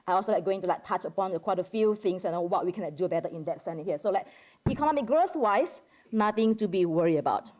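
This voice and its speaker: A woman's voice: medium pitch, animated, a little mellow. Indonesian-accented woman presenting conversationally. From Medan, Indonesia. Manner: conversational and halting, peppered with "like" and "you know". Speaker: academic discussant at a conference. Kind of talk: informal economics presentation to a general audience.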